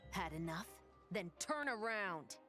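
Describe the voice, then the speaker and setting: A woman's voice: medium pitch, expressive, unhurried, pushed and bright, faint music behind. A woman playing a cocky fighter in a video game, throwing bold, teasing taunts. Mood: taunting.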